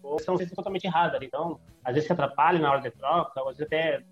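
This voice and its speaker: Southern brazilian host guy, bit higher voice, teasing and chatty, slightly dull audio. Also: quick pace, soft background music. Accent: Southern Brazilian (Rio Grande do Sul). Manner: chatty, teasing, lots of tag questions. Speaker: podcast host. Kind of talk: casual podcast chat.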